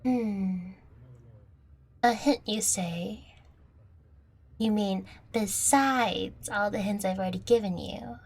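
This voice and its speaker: A woman voicing a playful, affectionate young catgirl girlfriend in an audio roleplay, teasing and coy, speaking closely to the listener with a breathy voice. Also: mid-range voice, slow pace, pauses often, heavily acted.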